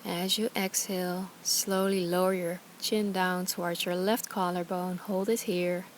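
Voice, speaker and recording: A woman teaching yoga and guided meditation, speaking calmly and soothingly in short, gentle instructions. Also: on the low side, unhurried, a little hiss or hum, rough recording. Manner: calm, gentle, soothing guidance with short phrases.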